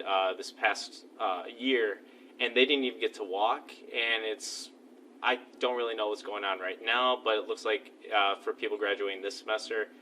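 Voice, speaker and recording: Bright-voiced young man, distant mic. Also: on the low side. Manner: chatty, many uh fillers. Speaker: student interviewee. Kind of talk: casual interview answers. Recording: distant mic, faint noise.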